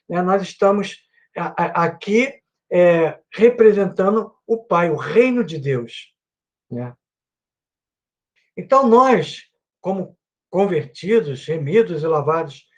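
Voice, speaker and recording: A Brazilian man teaching a Bible class at his computer with a microphone and headphones. He speaks earnestly and keeps adding little "né" tags. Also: high-pitched. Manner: earnest, repeats himself with many "né" tags. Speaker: Sunday school teacher.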